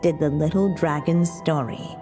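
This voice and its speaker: Slow, mellow storybook mother witch. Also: low voice, soft background music. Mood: gentle. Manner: measured, gentle, solemn. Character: tender solemn mother witch telling a storybook tale. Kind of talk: storybook narration in a game. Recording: long reverberant hall effect.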